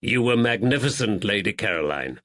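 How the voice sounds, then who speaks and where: A man's voice: on the low side, animated, moderate pace, fairly bright tone. A man voicing a polite detective, courteous and earnest. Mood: grateful.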